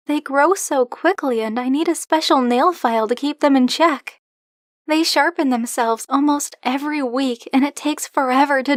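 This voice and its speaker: A woman's voice: high-pitched, dry recording, clean studio sound. A woman playing a flirty, possessive dragon-blooded librarian in an ASMR roleplay, speaking to the listener in a teasing, coy, insistent manner. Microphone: close, dry microphone.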